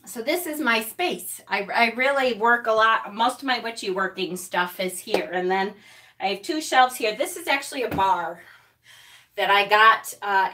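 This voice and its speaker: A woman chatting loudly and cheerfully with viewers of her live stream from a small room at home, standing away from the camera. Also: on the high side. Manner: loud, chatty, upbeat. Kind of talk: casual live-stream chat greeting viewers. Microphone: camera set a few feet away. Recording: voice a little distant from the mic.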